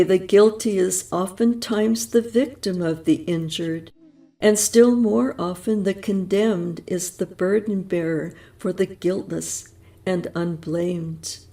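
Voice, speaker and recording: A woman reading prose poetry aloud in a slow, solemn, reverent manner, with measured phrasing and long pauses. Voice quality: gravelly, low and mellow. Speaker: audiobook reader. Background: soft music.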